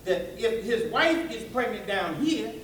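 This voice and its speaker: A man preaching an animated, folksy sermon to listeners, tossing out rhetorical questions and colloquial asides. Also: high voice, measured pace, slight background noise. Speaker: preacher giving a public religious address. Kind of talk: animated sermon with call-and-response to a live audience. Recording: echoey hall, distant microphone.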